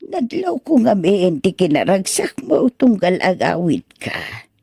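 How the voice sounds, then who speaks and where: Woman acting slow, husky, tender old mother. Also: fairly low pitch, wildly swooping pitch, warm tone, dry recording, clean studio sound, exaggerated voice. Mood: tender, concerned. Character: gentle, sorrowful old mother of grown sons. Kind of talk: amateur audio drama acting.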